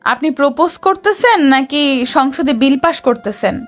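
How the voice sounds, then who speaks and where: High female voice, actress as sassy young officer, melodramatic, romantic audio drama, music behind. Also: animated, normal speed. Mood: demanding, teasing. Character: feisty, dramatic young woman officer in love with her boss. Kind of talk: amateur romantic audio story acting.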